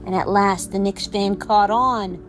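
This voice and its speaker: A woman's voice: medium pitch, steady tone, unhurried, soft background music, lightly acted. A woman acting in an audio drama as an exasperated storyteller, telling a mocking fable in a theatrical way. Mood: dramatic storytelling.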